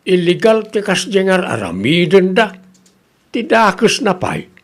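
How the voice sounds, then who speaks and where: A man acts out an Ilocano family man in a comedy radio drama. His pitch sits high for a man and swings widely, with creak running through his exclamatory delivery. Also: wildly swooping pitch, a little slow, exaggerated voice. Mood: gossipy, indignant. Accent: Ilocano Filipino.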